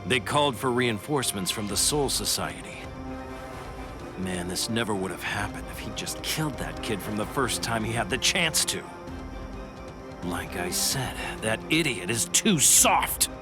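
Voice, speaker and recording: A man's voice: fairly low pitch, expressive, a little slow. Ruthless, scornful male villain over music. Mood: impatient, scornful. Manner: scornful, commanding. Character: ruthless, impatient, contemptuous male villain commanding underlings. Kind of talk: anime game voice acting. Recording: music behind the voice.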